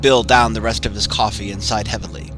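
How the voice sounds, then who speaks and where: A man's voice: lowish voice, fairly even intonation, a little fast. Man narrating a horror story over music. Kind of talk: dramatic horror story reading.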